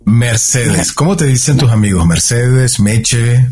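A man's voice: medium pitch, animated, slow pace, a little mellow, slightly rough. A man co-hosting a podcast, opening the guest's introduction like a fairy tale and then asking questions. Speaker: podcast co-host. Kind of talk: storybook-style introduction, then interview questions. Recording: faint music behind.

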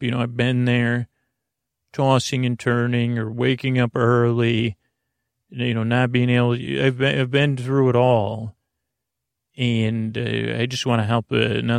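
A man with a gravelly, creaky voice hosts a sleep podcast, recorded close and dry. He talks in a halting, meandering monologue at an everyday middle pitch, wandering from one tangent to the next. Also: dry recording. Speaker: podcast host. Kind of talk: rambling bedtime podcast monologue.

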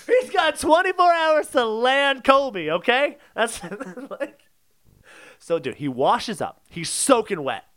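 A man's voice: extremely high pitch, sing-song, slow, a bit bright. A man co-hosting a podcast, arguing insistently in a casual, comedic debate. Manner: insistent, argumentative. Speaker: podcast co-host.

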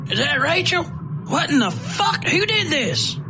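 Man acting horrified townsman, high strained pitch, shocked cursing, disgusted, horror audio story, music behind. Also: big pitch swings, a little slow, exaggerated voice.

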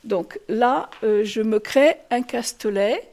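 Female artist guest, higher voice, casual, halting explanations with repeated words. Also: a little mellow. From France. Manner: hesitant, often repeating words as she explains. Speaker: puppet maker and artist, interview guest. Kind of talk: casual interview.

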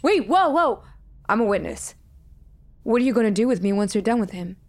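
A woman's voice: on the high side, big pitch swings, a little fast. A woman playing a bold, mouthy hostage in a thriller audio drama, blunt and incredulous. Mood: alarmed. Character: mouthy, bold female bystander held hostage.

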